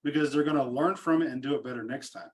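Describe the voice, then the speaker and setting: A male podcast host talks quickly in a mid-range voice. He agrees readily and circles his points with many restarts. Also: medium pitch. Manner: agreeable, rambling with repeated restarts. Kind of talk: podcast interview conversation.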